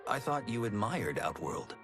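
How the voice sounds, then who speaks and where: A man's voice: lowish voice, big pitch swings, normal speed, over music. An actor playing an earnest, principled young male warrior, sincere and formal, in a video game cinematic.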